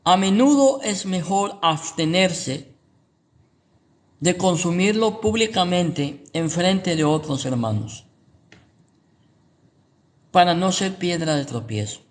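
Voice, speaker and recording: A man's voice: high-pitched, animated, slow, long pauses. A Guatemalan man with a Guatemalan Spanish accent, a pastor giving informal Bible teaching and checking in with rhetorical questions. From Guatemala City, Guatemala.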